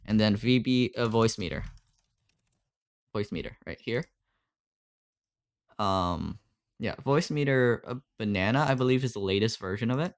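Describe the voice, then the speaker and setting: A man's voice: lowish voice, normal speed, long pauses. Casual man explaining, tacking on "right?". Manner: loose, filler-heavy, keeps asking "right?". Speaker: streaming audio mentor. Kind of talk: casual screen-share tutorial on a call. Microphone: desktop USB condenser mic.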